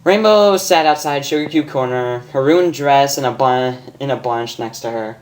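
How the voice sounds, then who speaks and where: A man's voice: medium pitch, moderate pace, slight background noise. A man narrating a story as an amateur reader, often stumbling and repeating words. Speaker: fan fiction reader.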